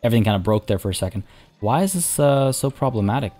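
Hoarse man chatting over gameplay. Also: medium pitch, animated, fast, mellow, soft background music. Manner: rambling, chatty, many restarts and fillers. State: hoarse, losing his voice. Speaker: let's play host. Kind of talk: casual gameplay commentary.

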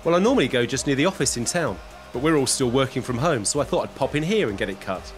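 Man acting easygoing office guy, polite salon customer, lively up and down voice, audio play. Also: medium pitch, fast talker, faint music behind, lightly acted. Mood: polite, casual.

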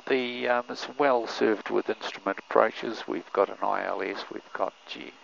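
An older man, a pilot giving relaxed cockpit commentary through a headset mic, with many fillers. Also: lowish voice, moderate pace, creaky, a little hiss or hum. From New Zealand. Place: small aircraft cockpit in flight. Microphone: aviation headset boom mic. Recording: muffled intercom audio with engine drone.

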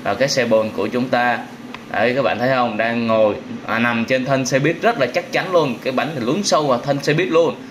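A man's voice: mid-range voice, fairly quick, fairly bright tone, slight background noise. A young man gives simple, exclamatory, repetitive commentary on a vehicle game for children. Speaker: host of a children's gaming channel.

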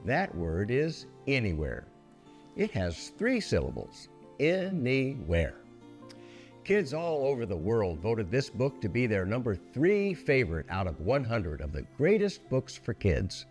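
A man in his seventies hosts a read-aloud for children. He takes his time, and his pitch moves very widely as he tells the story. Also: wildly swooping pitch, unhurried, darkish tone, soft background music. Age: 70s. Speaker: children's storybook reader and host.

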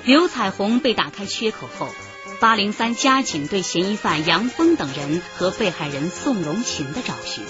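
A woman narrates a radio crime drama in a mid-pitched, very lively voice. Her delivery is scripted and dramatic. Prominent music runs behind her, and the sound is slightly dull. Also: normal speed.

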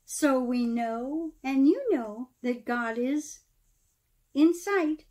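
A middle-aged woman with a notably high-pitched voice reads a rhyming picture book for children. Her delivery is theatrical and emphatic, with deliberate pauses between phrases. She sounds like a storyteller addressing young listeners directly. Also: darkish tone. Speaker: pastor reading a children's story. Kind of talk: expressive children's storybook read-aloud in rhyme.

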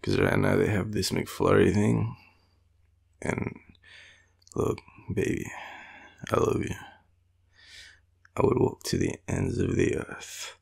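A man's voice: sits low, fairly even intonation, slow, creaky, long pauses, husky. A tired man with an Australian accent, murmuring and rambling drowsily as a clingy, teasing boyfriend in an intimate roleplay, often half-whispering. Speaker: audio roleplay performer playing a boyfriend.

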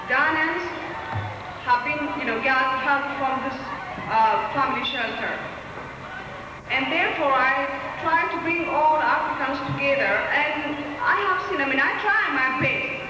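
A woman's voice: high voice, unhurried, background noise. Pleading woman speaking in an echoey hall. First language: not English. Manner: earnest and pleading, non-native phrasing. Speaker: community speaker at a rally. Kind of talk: impassioned public speech. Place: large community hall. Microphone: podium mic from a far camera. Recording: echoey hall recorded from far off.

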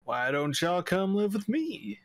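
Man playing a slow-talking flirty gamer dude. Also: high voice, big pitch swings. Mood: friendly. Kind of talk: comic-book voice acting.

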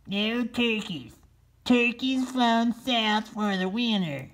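A man acts out a defiant wild turkey father from a storybook. His voice is pitched very high and he stretches out his urgent declarations slowly. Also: a bit bright, heavily acted. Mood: declaring. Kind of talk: storybook character voice.

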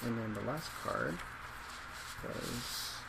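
Male, twenties, ASMR psychologist role play: heavy vocal fry, reflective delivery, loud fan noise. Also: medium pitch, slow pace. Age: 20s. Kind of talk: soft-spoken ASMR role play, reading responses and reflecting. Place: small indoor office at a desk. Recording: steady loud laptop fan hiss.

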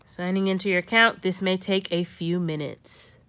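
Young woman, slightly low voice, tutorial host, informal, step-by-step narration, reads prompts aloud. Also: fairly even intonation, moderate pace, fairly bright tone. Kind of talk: casual step-by-step how-to narration, reading screen prompts aloud.

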